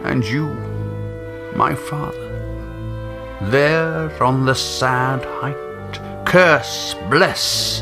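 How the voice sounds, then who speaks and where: A man reciting poetry in a slow, measured, dramatic way. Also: fairly high pitch, gravelly. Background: music.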